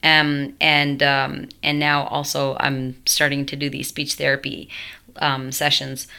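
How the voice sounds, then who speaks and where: A woman's voice: extremely low pitch, completely flat delivery, a little slow, creaky, sharp tone, smooth. A young woman vlogging at home, telling her story in a rambling, self-interrupting way full of fillers, her voice changed after a tracheotomy. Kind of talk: casual storytelling to camera.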